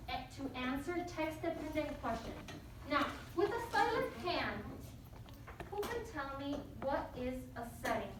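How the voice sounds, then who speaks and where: High-voiced woman teacher, echoey and distant. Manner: encouraging, prompting with questions. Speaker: elementary school teacher. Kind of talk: interactive read-aloud lesson to children. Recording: echoey, noisy, distant mic.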